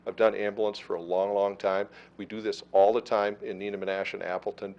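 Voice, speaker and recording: Gravelly low-voiced man explaining plans. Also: quick pace, highs cut off. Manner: steady, reassuring explanations with frequent fillers. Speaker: ambulance service manager. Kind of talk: answering questions at a council meeting. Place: council meeting room.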